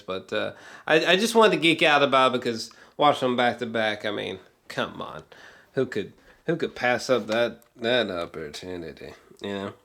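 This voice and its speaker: A man's voice: medium pitch, gravelly, pushed and bright. A man giving a casual, rambling film review with geeky, awed enthusiasm, often hesitating, trailing off and swearing casually.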